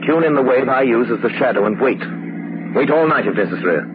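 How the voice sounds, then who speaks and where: Actor as composed crime fighter on tinny old radio. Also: male voice, medium pitch, animated, fast, noisy background, lightly acted. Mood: quietly urgent. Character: composed, secretive crime-fighting man with uncanny gifts. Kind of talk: 1930s-40s radio drama acting.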